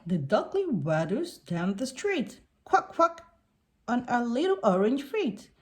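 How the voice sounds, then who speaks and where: Lady doing kids storytime, kinda high voice, really animated, reads out animal noises too. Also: slow pace. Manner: expressive, puts on animal sounds. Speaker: children's picture book reader. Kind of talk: read-aloud storytelling for young children.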